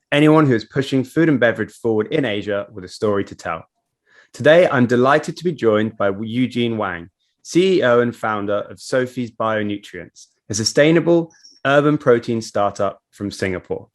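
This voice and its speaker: A man hosting an interview series, reading a show intro and then asking questions, with a measured manner and some hesitant ums. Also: lowish voice. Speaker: interview host.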